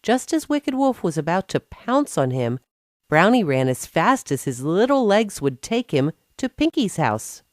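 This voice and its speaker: A woman's voice: fairly low pitch, big pitch swings, a little slow, no room sound, clean studio sound. A woman narrating a scripted children's bedtime story as a storyteller.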